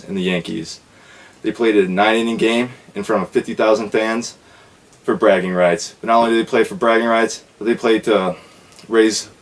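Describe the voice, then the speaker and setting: A man playing a sports reporter in a casual student skit newscast, plainly reading out facts. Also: on the low side.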